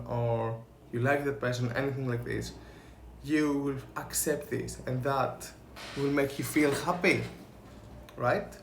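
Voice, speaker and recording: A young man with a Greek accent, talking earnestly to camera in slow, halting speech as he searches for words. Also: medium pitch, vocal fry, echoey room, slight background noise. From Greece. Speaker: vlogger.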